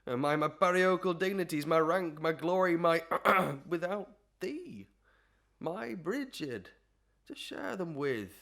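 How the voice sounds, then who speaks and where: A man playing a pompous, lovestruck parish official in a Victorian melodrama, grandiloquent and mock-poetic, often clearing his throat. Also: a little high, lively intonation, slow, a bit bright, full character voice. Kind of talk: Victorian melodrama audio acting.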